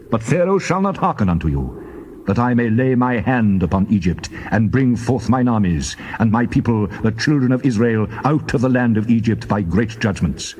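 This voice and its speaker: A man playing God as a solemn, commanding deity in a dramatized scripture reading, measured and declamatory. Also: mid-range voice, darkish tone, slight background noise, close to an everyday voice.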